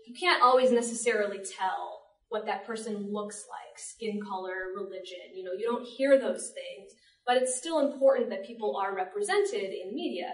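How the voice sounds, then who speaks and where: A woman moderates a panel in a roomy space, picked up at some distance from the microphone. Her voice sits at a medium pitch as she introduces topics for discussion. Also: moderate pace, hardly any pauses. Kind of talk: spoken panel discussion before an audience. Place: panel at a public library, indoors. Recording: roomy, distant library event recording.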